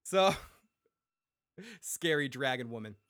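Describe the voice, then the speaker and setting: A man's voice: medium pitch, lively intonation, slow, a bit bright. A man co-hosting a casual fan podcast, loose and profane, laughing often and reading out listener messages. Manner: reads listener messages aloud. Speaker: podcast co-host.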